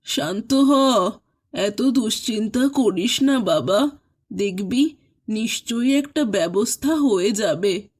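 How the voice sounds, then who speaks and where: A woman playing an anxious, tender elderly mother of a grown son in an animated horror story, pleading and soothing. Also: fairly high pitch, slow pace, slightly rough, exaggerated voice. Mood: soothing, concerned.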